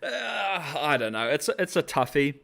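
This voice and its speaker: A young man hosting a casual livestream, rambling through animated commentary with frequent laughter and fillers. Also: fairly high pitch, unhurried, gravelly. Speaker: host.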